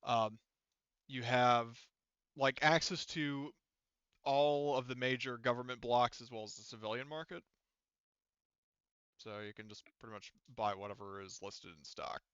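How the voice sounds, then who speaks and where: A man's voice: medium pitch, fairly even intonation, unhurried, long pauses, fairly bright tone, smooth, no room sound, muffled recording. A man casually running a tabletop game as game master, explaining things in a rambling way.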